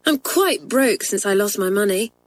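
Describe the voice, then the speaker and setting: A woman's voice: mid-range voice, animated, moderate pace, natural voice. A woman voicing a sensible, good-natured schoolgirl who talks in a friendly, plain way. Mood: rueful.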